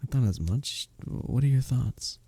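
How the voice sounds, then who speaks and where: A man playing a gentle, earnest village innkeeper in a medieval ASMR roleplay, speaking softly and intimately in formal, old-world phrasing. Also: medium pitch, expressive, a little slow, breathy edge, no echo. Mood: warm, shy. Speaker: audio roleplay performer. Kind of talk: ASMR roleplay, intimate one-sided conversation.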